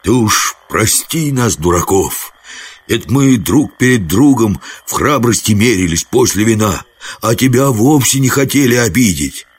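A man voicing a rough, wily, hearty warrior from a folk fantasy audiobook, with rustic, coaxing colloquial speech. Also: lowish voice, expressive, measured pace, voice pushed up. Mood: pleading.

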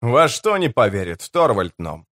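Guy doing a stern barbarian warrior man, slow n solemn, blunt, fantasy audiobook. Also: medium pitch, very animated, a bit bright.

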